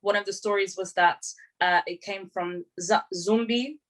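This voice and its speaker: A woman's voice: mid-range voice, steady tone, a little slow. Young woman, eager, chatty, lots of ums and restarts, video call. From grew up in London, England. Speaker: panelist, writer and performer. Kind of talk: online panel talk.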